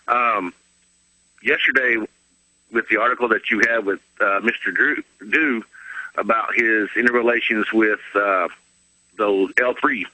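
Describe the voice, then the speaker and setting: Guy calling a radio show, really sharp bright voice, dry sound, giving his take on politics. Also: fairly low pitch, a little slow, no room sound, rough recording. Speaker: phone-in caller. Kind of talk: unscripted political opinion. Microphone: telephone on radio show.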